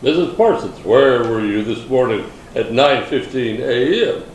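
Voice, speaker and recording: Man acting a stern, animated police detective. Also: medium pitch, measured pace, natural voice. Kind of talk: amateur readers theater reading. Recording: echoey hall, distant mic, faint noise.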